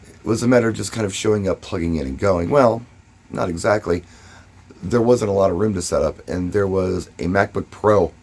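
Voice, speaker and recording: A man speaking casually and emphatically, giving first-person advice and telling stories, with short pauses for effect and a husky voice. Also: deep voice, a little mellow. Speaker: DJ and vlogger.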